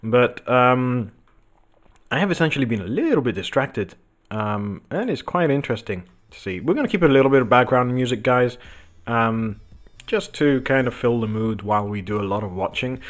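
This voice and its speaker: Man, croaky just-woken voice, rambling, filler-heavy, casual stream host, home office. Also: mid-range voice, expressive, moderate pace. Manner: rambling, filler-heavy, talks to viewers and the chart. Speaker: crypto livestream host. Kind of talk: casual livestream chat over charts. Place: home office with window open.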